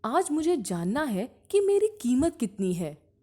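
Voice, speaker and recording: A woman's voice: sits high, sing-song, unhurried, warm tone, heavily acted. A woman voicing an earnest, questioning male shop worker in a storybook tale, with a direct manner. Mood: determined.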